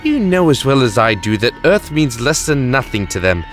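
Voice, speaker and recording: A man's voice: mid-range voice, sing-song, normal speed, faint music behind, close to an everyday voice. A man playing a weary, grandiose time-traveling alien emperor in an audio drama, self-justifying and reflective. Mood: proud, reflective.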